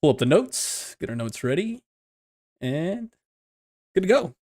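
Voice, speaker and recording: A man's voice: fairly high pitch, sing-song, a little slow, darkish tone, no echo, studio quality. A young man from the United States co-hosting a gaming podcast in a laid-back, chatty way, laughing often. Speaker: podcast co-host. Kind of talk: casual gaming podcast chat.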